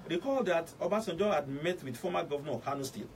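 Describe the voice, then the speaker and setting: A Nigerian man hosting a radio show, delivering formal commentary and introductions with deliberate, emphatic phrasing. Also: on the high side, animated. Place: radio studio. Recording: distant mic with faint background noise.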